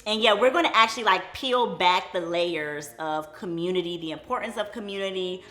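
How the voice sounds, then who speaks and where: A woman hosts a relaxed chat among friends, her voice a little low but bright and lively. She laughs easily and talks openly about faith. The room rings with echo, and faint music plays underneath. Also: a little fast. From Detroit. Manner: chatty, laughs often, speaks of faith. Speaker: host. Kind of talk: casual group chat.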